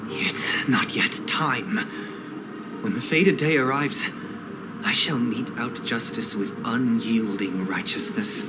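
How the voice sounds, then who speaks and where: An actor playing a solemn, righteous winged brother in a video game, speaking in formal, measured, sermon-like phrases. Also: male voice, mid-range voice, over loud music, natural voice, rough recording. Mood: stern rebuke. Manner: formal, measured, preachy with quotations. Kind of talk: scripted dramatic video game cutscene dialogue.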